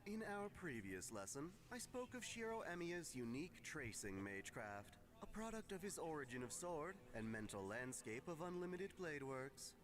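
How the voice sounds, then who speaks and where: A man narrating a scripted lore lesson in a measured, formal lecturing manner. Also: a little high, very animated. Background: music.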